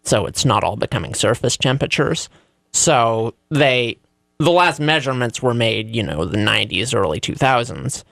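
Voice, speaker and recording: A man co-hosting a casual talk show, with dry, self-deprecating humor and halting, repetitive phrasing. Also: mid-range voice, lively intonation, creaky, fairly bright tone, no room sound. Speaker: radio show co-host. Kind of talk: casual banter and commentary.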